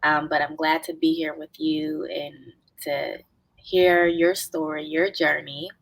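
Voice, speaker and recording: A woman hosts an interview podcast, her voice low-pitched and a bit congested from a cold. She encourages her guest with short affirmations. The sound is dry and close. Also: little pitch movement, a little slow, no room sound, low-quality recording. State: stuffy from a cold. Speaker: podcast host. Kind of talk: conversational interview.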